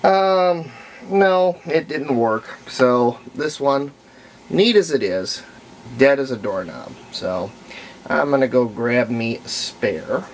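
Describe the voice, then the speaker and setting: A man narrating casual hands-on repair work, thinking aloud in short, halting phrases. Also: medium pitch, lively intonation, a little hiss or hum, low-quality recording. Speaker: hobbyist demonstrating antique radio repair. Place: room at home, indoors.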